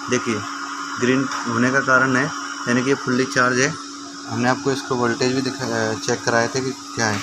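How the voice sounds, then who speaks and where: A man presents an informal repair tutorial in a mid-pitched voice. He explains things hands-on and keeps checking that viewers follow. The amateur recording has clear background noise. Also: level tone, moderate pace.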